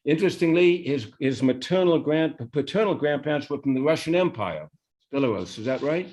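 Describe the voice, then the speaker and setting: Conversational male moderator, slightly halting delivery. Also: a little high, lively intonation, darkish tone. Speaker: moderator, interviewer. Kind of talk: informal spoken introduction and questioning.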